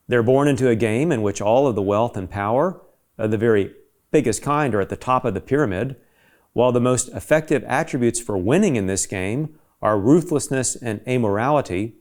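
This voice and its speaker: A man's voice: medium pitch, a little mellow. A man reads a scripted essay aloud in an emphatic, rhetorical manner, as if pressing an argument. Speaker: reader of an essay.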